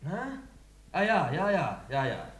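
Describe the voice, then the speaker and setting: A man's voice: fairly high pitch, huge pitch swings, takes it slowly, full character voice. A man playing a sleepy, evasive young son in a radio comedy, nervously charming, stammering and wheedling, in an echoey room. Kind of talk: 1960s German radio comedy acting. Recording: echoey room with faint hum.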